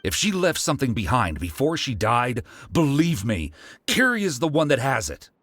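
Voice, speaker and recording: Animated, exasperated male accomplice. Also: mid-range voice, normal speed, no echo, studio quality. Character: weary, defensive male accomplice in a scam. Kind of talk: video game voice acting.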